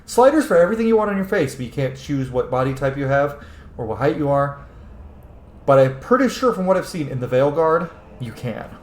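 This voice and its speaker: A man giving casual, playful let's-play commentary in an animated voice. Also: on the high side, fairly quick. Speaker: gaming streamer. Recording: roomy echo, faint noise.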